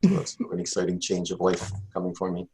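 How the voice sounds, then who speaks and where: A man's voice: deep voice, fast talker, mellow, slight background noise. A middle-aged man from Canada and the USA, a nervous guest author who stammers and repeats words while talking on a video call. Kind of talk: interview conversation.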